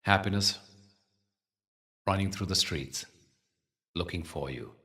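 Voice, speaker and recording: A man with a very deep voice teaches a guided meditation. He speaks very slowly and soothingly, leaving long, measured pauses. The voice sits at some distance from the microphone. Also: pushed and bright.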